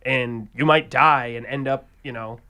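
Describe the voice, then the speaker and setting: A man's voice: medium pitch, fairly even intonation, measured pace, bright, forceful tone. A man co-hosting a podcast, chatting casually and rambling, swearing and restarting his sentences as he goes. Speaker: podcast co-host. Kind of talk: casual chat.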